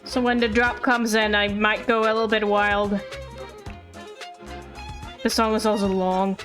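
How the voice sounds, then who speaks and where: A woman's voice: medium pitch, flat delivery, a little slow, a bit bright. A giggly, playful woman live streamer chatting casually while she plays games. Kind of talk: casual gaming stream chat. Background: music.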